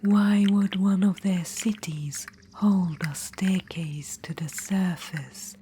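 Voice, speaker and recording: A woman voicing a possessive, menacing undead witch-queen in an ASMR roleplay, whispering softly and slowly throughout. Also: medium pitch, steady tone, a little hiss or hum, natural voice. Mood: coaxing, menacing. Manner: soft whisper throughout, slow and intimate.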